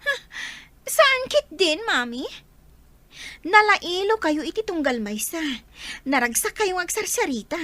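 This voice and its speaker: A woman's voice: extremely high pitch, big pitch swings, measured pace, bright, forceful tone, dry recording, exaggerated voice. A woman playing a whiny, jealous young daughter in a radio drama, plaintive and insistent. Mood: resentful, whining. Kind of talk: Filipino radio drama acting.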